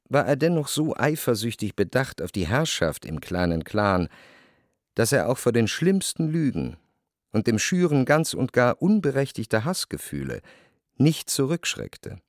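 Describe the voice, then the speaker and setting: An actor playing a reflective, wry memoir narrator in a radio drama, with measured and deliberate delivery. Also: male voice, medium pitch, very animated, dry recording, studio quality. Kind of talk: scripted literary narration.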